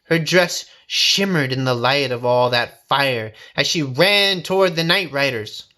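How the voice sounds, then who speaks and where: A man reading a folksy first-person story aloud in a dramatic, expressive, suspenseful way. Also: mid-range voice, a little slow. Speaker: storybook reader.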